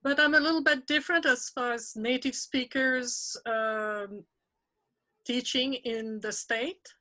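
A woman's voice: fairly high pitch, fairly even intonation, a little slow, pushed and bright. A woman from France in her sixties with a French accent, a retired teacher chatting encouragingly through a video-call workshop. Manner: chatty, encouraging, laughs often. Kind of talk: professional development webinar talk.

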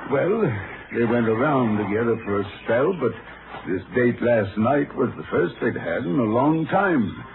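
A man's voice: mid-range voice, animated, measured pace, vocal fry. A man playing an anxious, protective middle-aged rancher father in a 1950s radio drama, talking in a hesitant, folksy way. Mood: hesitant, helpful. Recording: noisy, muffled vintage radio recording.

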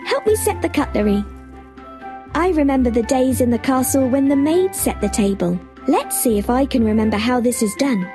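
A woman's voice: high-pitched, lively intonation, moderate pace, mellow, soft background music. A woman playing a sweet, cheerful young princess in a children's storybook game, encouraging the listener. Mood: cheerful, gentle.

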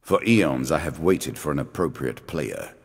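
An actor playing an ancient, immortal dealer in a fantasy card game, speaking in a theatrical, measured, ominous and condescending way. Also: male voice, a bass voice, animated, vocal fry, husky, heavily acted. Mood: impatient, brooding. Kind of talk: scripted fantasy game character lines. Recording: long reverb on the voice.

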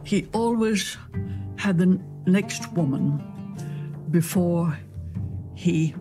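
An interviewee giving reflective commentary, deliberate and repeating phrases for emphasis. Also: medium pitch. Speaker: documentary interviewee. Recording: music under the voice. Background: plucked guitar music.

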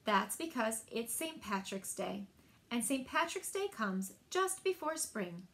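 A woman's voice: on the high side, very animated, a little slow, a bit bright. Woman, thirties, children's story time presenter. Simple, explanatory delivery, recorded at home about a metre from the mic. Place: small indoor room at home.

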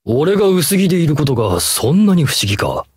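A man's voice: a little high, sing-song, brisk, breathy edge, no echo, studio quality, exaggerated voice. An actor playing a grave, brooding yet tender scarred male hero in a mobile game. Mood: pensive. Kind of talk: Japanese mobile game character acting.

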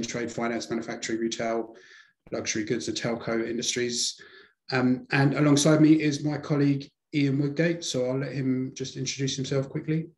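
A man's voice: medium pitch, darkish tone, reverberant, poor audio. A man with a London accent giving a webinar presentation over a video call, hesitant with many fillers. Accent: London English. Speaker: sales presenter.

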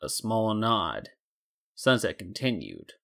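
A man's voice: fairly low pitch, wildly swooping pitch, slow pace, creaky, fairly bright tone. A man narrating a scripted story in a dramatic reading style. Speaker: narrator and fan fiction reader.

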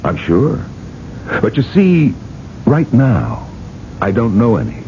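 A man's voice: medium pitch, huge pitch swings, slow, a little mellow, heavily acted. A man acting in a radio drama as a cunning, ruthless industrialist, cynical and defensive. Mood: glib, persuasive. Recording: dull, noisy old radio recording.

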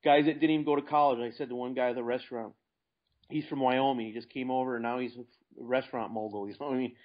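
A man's voice: mid-range voice, fairly even intonation, fast talker. An American man talking casually and plainly as the guest in an investing interview. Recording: dull lo-fi internet call.

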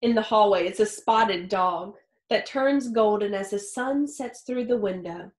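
A woman in her thirties reading a picture book aloud like a teacher, expressively and with deliberate phrasing for young listeners. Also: mid-range voice. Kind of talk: expressive children's read-aloud. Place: small home office or study with wooden shelves. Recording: roomy, echoey indoor sound.

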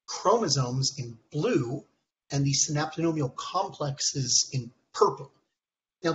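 Hoarse man giving a technical talk. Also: a little high, fairly bright tone, slight background noise. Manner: halting, many ums and restarts. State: hoarse from a cold. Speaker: presenter. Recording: dull lo-fi call audio.

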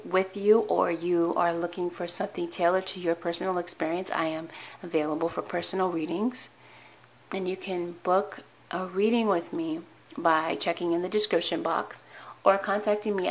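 A woman reading tarot cards for her viewers in a calm, earnest way, her voice hoarse and tired, often restarting her words. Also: on the low side. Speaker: tarot reader. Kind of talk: weekly tarot reading to camera. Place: indoor tabletop with cards, voice off camera.

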